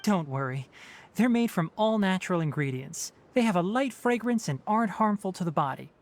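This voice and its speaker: A man voicing a calm, principled young male forest ranger and former scholar in a video game, explaining things in a measured, gently lecturing way. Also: high-pitched.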